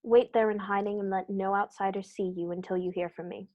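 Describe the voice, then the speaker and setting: A woman's voice: mid-range voice, steady tone, fairly quick, lo-fi sound, close to an everyday voice. A woman playing a shrewd male Victorian detective in a 1940s-style radio play, speaking formally and with authority. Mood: commanding.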